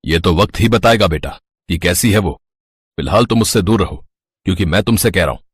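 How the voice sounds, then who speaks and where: Snobbish wealthy cartoon dad, very deep, husky, dry studio sound. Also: male voice, fairly quick, no echo. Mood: scolding. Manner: lecturing, scornful of the poor. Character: rich stern middle-aged father, snobbish. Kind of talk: Hindi cartoon voice acting.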